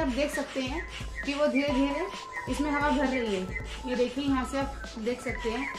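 A young adult woman, giving a casual, explanatory product review to camera and mixing in English words. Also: high voice. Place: living room at home, indoors. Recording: echoey room, music behind.

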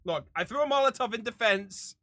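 An excitable game streamer giving casual live commentary, prone to shouting and cackling. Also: mid-range voice, brisk, no room sound, studio quality.

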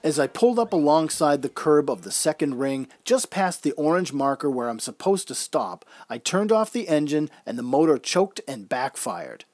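A man's voice: a little high, very animated, normal speed, few pauses, darkish tone. A man reading scripted first-person narration in the style of an old-time radio drama. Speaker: storyteller.

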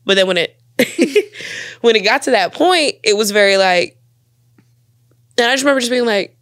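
A woman's voice: on the high side, lively intonation, normal speed, fairly bright tone, no echo. A young woman from Texas, a stand-up comedian guest, telling stories in a loose, laid-back way with frequent laughter in a small studio. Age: young adult. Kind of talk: casual comedic storytelling. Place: small studio set, indoors. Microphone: boom studio mic.

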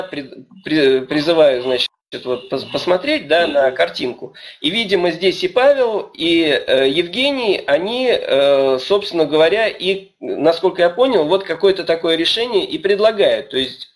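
Hesitant high-voiced male webinar host. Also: a little hiss or hum. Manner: hesitant, full of "da" and restarts. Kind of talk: moderating a webinar. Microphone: video call.

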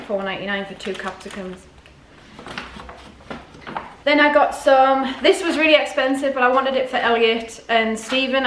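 A woman's voice: high voice, fairly bright tone. A woman in her forties chatting casually to camera as a vlogger, often questioning herself aloud. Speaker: vlogger showing a grocery haul. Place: indoors in a home dining room. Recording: echoey room, clear background noise.

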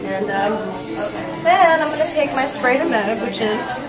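A woman's voice: high-pitched, animated, measured pace, poor audio. A woman in her thirties, a hair stylist, casually explaining a styling tutorial step by step in a salon. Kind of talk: how-to tutorial. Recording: salon video with loud music behind. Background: loud background music.

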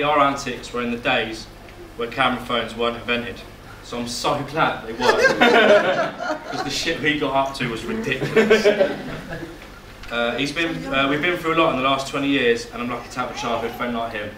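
A man's voice: mid-range voice, normal speed. A young man giving his groom's speech at a wedding reception, reading his notes, joking and at times emotional. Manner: emotional, voice catching at moments, joking. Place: wedding reception hall, indoors. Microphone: far camera, no mic. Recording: echoey hall, distant camera, loud noise.

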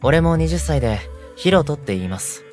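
An actor playing a kind twenty-year-old delinquent in an audio drama, a gentle, reassuring peacemaker. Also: male voice, fairly high pitch, big pitch swings, normal speed, soft background music. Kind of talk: Japanese audio drama acting.

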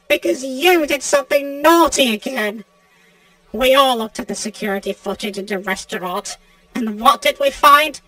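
A stern animatronic girl played by a woman with a bright, dry sound, slightly away from the mic. She scolds like someone betrayed, in a game. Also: high voice, no echo, distant mic, exaggerated voice, low-quality recording. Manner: scolding, deliberate. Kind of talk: video game character voice lines.

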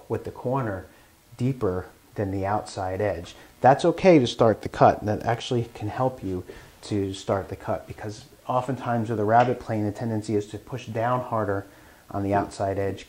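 A man's voice: lowish voice, a little mellow. A man hosting a woodworking show, explaining plainly as he works with hand tools in his shop. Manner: plain explanatory, talks while working. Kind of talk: hands-on tool demonstration.

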